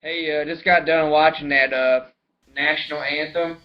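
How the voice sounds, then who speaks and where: A man's voice: fairly high pitch, steady tone, moderate pace, dull audio, voice pushed up, natural voice. A man voicing an indignant, patriotic American blowhard in a comic homemade video rant, complaining with slangy, profane grievance. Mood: disgusted. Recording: roomy homemade video, laughter over it.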